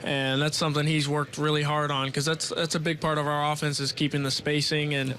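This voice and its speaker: A young man, a college basketball player, giving measured, matter-of-fact answers in a media day interview. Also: on the high side, level tone, bright, forceful tone, a little hiss or hum. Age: young adult.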